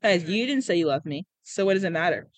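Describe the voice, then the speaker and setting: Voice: medium pitch, lively intonation, quick pace, no room sound, natural voice, low-quality recording. Reader playing teenage boy, sulky, aggrieved, storybook dialogue, dry sound. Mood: gruff, sulky.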